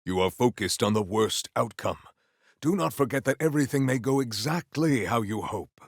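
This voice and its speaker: An actor playing a proud, honorable warrior in a video game, speaking in a stately, theatrical, formal way. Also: male voice, medium pitch, animated, moderate pace, dry recording, clean studio sound. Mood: earnest, noble. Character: proud, honorable, formal warrior, adult man, earnest and grandiose. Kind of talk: video game character voice lines.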